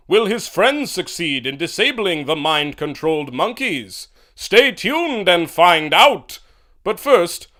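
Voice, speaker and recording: A man doing a booming old-time radio announcer. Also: a bit bright. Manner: booming, theatrical. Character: dramatic male radio-serial announcer.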